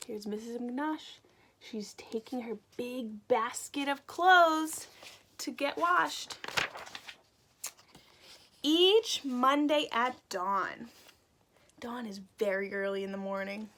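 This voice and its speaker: A woman reading a picture book aloud to young children, animated and expressive, pausing to explain words simply like a teacher. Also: a little high, slight background noise.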